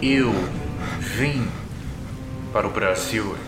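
A man playing an ancient, proud but weary vampire, speaking slowly and solemnly in grandiose phrases. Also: fairly low pitch. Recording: roomy echo, music underneath.

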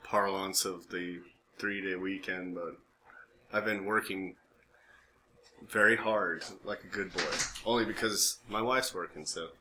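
A man's voice: deep voice, fairly even intonation, a little slow, pushed and bright. An American man co-hosting a podcast in casual banter, rambling and jokey as he interrupts himself. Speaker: podcast co-host. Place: small room, recorded for a podcast and live stream. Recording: clearly noisy background.

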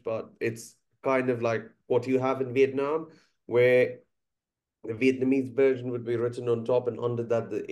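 A man's voice: medium pitch, darkish tone. Man speaking thoughtfully. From India. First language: Bengali. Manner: measured, thoughtful, frequent uh pauses. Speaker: guest musician and teacher. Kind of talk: conversational podcast interview.

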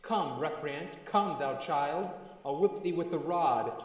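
A man's voice: fairly high pitch, expressive, slow pace, breathy edge, exaggerated voice. An actor playing a hot-headed young nobleman in a Shakespearean radio play, declaiming defiantly in verse. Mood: taunting. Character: hot-headed young Athenian nobleman challenging his rival.

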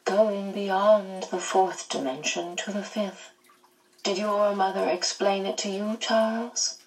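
Woman reading a kindly otherworldly guide; gentle, measured explanations. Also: medium pitch, steady tone, distant mic, poor audio.

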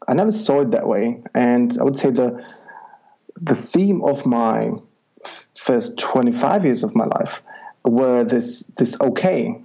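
A man's voice: mid-range voice, vocal fry, dark tone, husky. A man from the Republic, a life coach talking as a podcast guest over an online call, hesitant with many ums. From Czech Republic. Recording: dull lo-fi online call audio.

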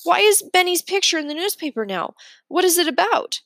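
A woman voicing an earnest, simple-hearted schoolboy in a storybook, plain-spoken and a little halting. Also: extremely high pitch, very animated, a bit bright, no room sound, studio quality, pitched above the performer's own voice, exaggerated voice. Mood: agitated, confused.

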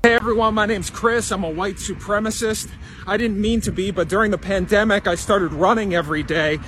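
Man, high-pitched, satirical, mock-sincere, faint ambience. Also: steady tone, brisk, a bit bright, a little hiss or hum. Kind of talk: satirical comedy monologue.

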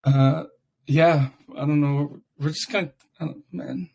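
A raspy-voiced young man hosting a casual podcast, chatting loosely with many fillers. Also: fairly high pitch, steady tone, a little slow, a little mellow. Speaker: podcast host. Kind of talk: casual chat.

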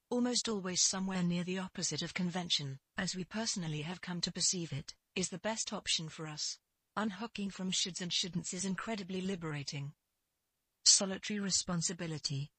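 A narrator reads a scripted spiritual advice reply in an earnest, measured and emphatic manner. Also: medium pitch, fairly bright tone, breathy edge, no echo. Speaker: spiritual advisor answering a letter.

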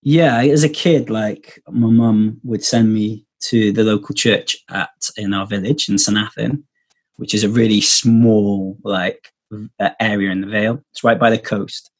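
A man's voice: lowish voice, fairly even intonation, a little slow, breathy edge. A man chatting casually as a podcast guest, rambling with lots of fillers and restarts, heard over a video call. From Wales. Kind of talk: casual testimony chat.

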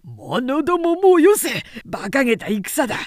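A woman narrator voicing a rough, defiant male warrior commander, barking orders in a dramatic historical storybook reading. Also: sits high, sing-song, measured pace, no echo, clean studio sound, voice pushed up. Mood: defiant urging. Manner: barked battlefield shouting.

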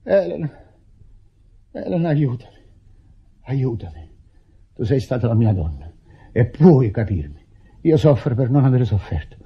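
An actor playing a guilt-ridden, tormented widower, declaiming with anguish in a melodramatic radio play. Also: male voice, medium pitch, big pitch swings, unhurried, pauses often, breathy edge, exaggerated voice. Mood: tormented, grieving. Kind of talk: theatrical Italian radio drama acting. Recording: muffled old radio broadcast recording.

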